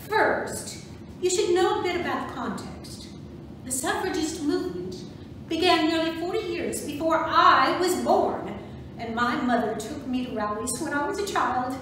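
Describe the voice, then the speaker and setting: A woman playing a proud, brisk suffragist parade organizer in an amateur reader's theatre monologue. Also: sits high, animated, fairly bright tone. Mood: proud, informative. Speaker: volunteer docent performer. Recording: very echoey, distant, noisy room recording.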